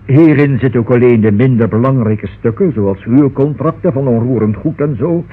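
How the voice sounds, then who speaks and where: A man's voice: mid-range voice, normal speed, slightly rough, natural voice. A man acting a fussy, nervous, forgetful solicitor in a radio play, with hesitant, exclamatory speech. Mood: flustered. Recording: muffled, band-limited old radio recording.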